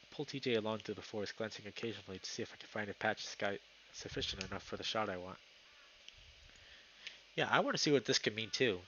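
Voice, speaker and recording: Young male streamer with a bright voice. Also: on the low side, quick pace, muffled recording, slight background noise. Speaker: live streamer. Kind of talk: casual game stream chat and read-aloud narration.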